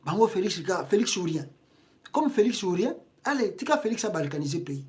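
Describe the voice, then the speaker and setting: A woman's voice: mid-range voice, big pitch swings, fairly quick, darkish tone. A woman from Congo with a Congolese French accent, giving impassioned political commentary in a video, indignant and full of rhetorical questions. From Congo (DRC).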